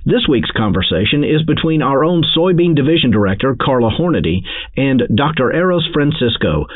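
A man's voice: mid-range voice, no room sound. A man hosting a farm podcast, reading the show's scripted introduction. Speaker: podcast host. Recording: dry phone-like audio.

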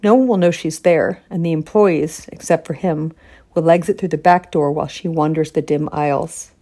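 A woman's voice: sits low, fairly even intonation, normal speed, warm tone. A woman author reading her own literary fiction aloud in the manner of an audiobook.

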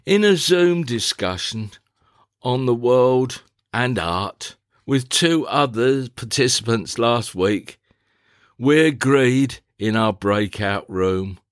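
A man narrating a scripted, reflective personal diary in a measured, deliberate, formal manner. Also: mid-range voice, no room sound, clean studio sound. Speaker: diarist, playwright.

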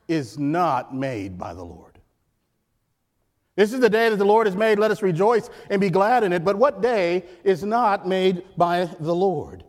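Male guest preacher, loud and emphatic, a little high-pitched, call-and-response delivery, long reverb of a church hall. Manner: emphatic, repeats key phrases, asks the congregation to answer. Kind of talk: sermon with jokes and call-and-response. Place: church sanctuary.

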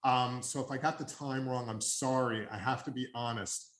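A man's voice: medium pitch, fairly even intonation, normal speed, roomy sound, a crowd in the background. An older man from Long Island hosting a casual interview, talking in an earnest, rambling way that often corrects itself. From Long Island, New York. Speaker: podcast host.

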